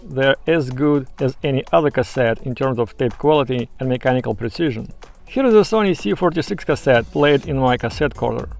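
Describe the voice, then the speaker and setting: A man with a creaky, fry-edged voice at an average pitch narrates a scripted video essay. His delivery is measured and explanatory, with opinions of his own. Soft music plays faintly behind him. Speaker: YouTube presenter.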